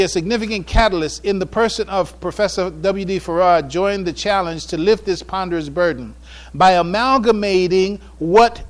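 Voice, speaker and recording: An American man delivering a prepared public address on religion and history from notes, with formal, deliberate, emphatic phrasing. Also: sits high. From United States. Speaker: speaker giving a public address.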